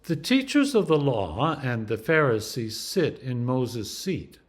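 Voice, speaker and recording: A man, an Anglican priest, reading scripture aloud in a slow, deliberate and emphatic way. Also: medium pitch, big pitch swings.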